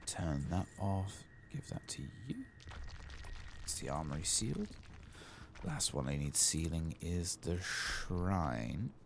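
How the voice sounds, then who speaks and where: A soft-spoken man who often drops into a whisper, muttering casually to himself as he commentates on a video game in an ASMR style. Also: low-pitched, big pitch swings, slow pace, gravelly, slight background noise. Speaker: host playing a video game.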